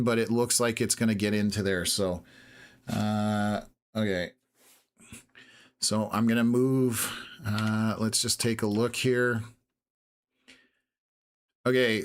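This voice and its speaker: A hoarse Canadian man hosting a live weather stream, talking through radar scans in a steady, measured way with lots of filler "uh"s. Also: medium pitch. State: hoarse, losing his voice. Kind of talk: live storm-tracking commentary.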